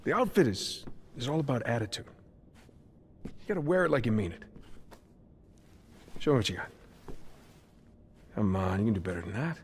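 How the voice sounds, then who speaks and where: A man's voice: medium pitch, lively intonation, normal speed, pauses often, long reverb tail, slight background noise, natural voice. A man playing a cocky, playful superhero inventor and encouraging mentor in a video game, with a breezy, teasing manner. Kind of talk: video game cutscene acting.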